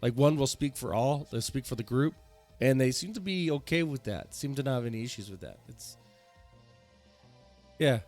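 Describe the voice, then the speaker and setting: A man's voice: medium pitch, animated, normal speed, pauses often, darkish tone, soft background music. A man hosting a reaction video, rambling casually with many fillers and restarts.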